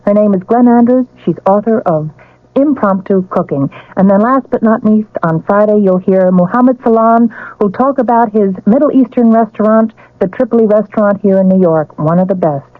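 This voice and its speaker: A woman reads a scripted radio promo as an announcer. Her voice is mid-pitched and very dry, on a narrow, lo-fi broadcast. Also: no room sound.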